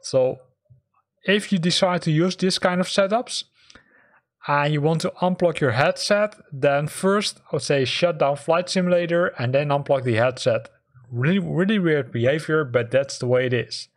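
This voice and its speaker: A man's voice: fairly high pitch, normal speed. A man hosting a how-to tutorial, explaining things step by step in an informal way. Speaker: host of a flight simulator tutorial channel. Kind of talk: spoken how-to tutorial over screen capture.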